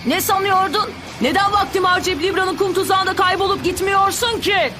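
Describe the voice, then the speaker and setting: A woman voicing a cocky, hot-tempered little boy in a cartoon dub, bratty and excitable. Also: very high-pitched, brisk, over music. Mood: cocky, excited. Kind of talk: Turkish cartoon dub acting.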